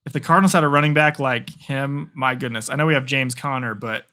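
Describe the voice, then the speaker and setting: A young man calling play-by-play for a live stream, excitable and chatty, answering chat, his voice getting worn. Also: medium pitch, fairly quick. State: losing his voice. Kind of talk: casual live play-by-play commentary.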